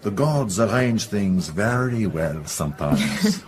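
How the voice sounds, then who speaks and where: Low-voiced, courtly French charmer. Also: male voice, big pitch swings. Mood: amused, flirtatious. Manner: gallant, formal, halting search for English words. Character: courtly flirtatious Frenchman, an archaeologist. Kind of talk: radio drama acting.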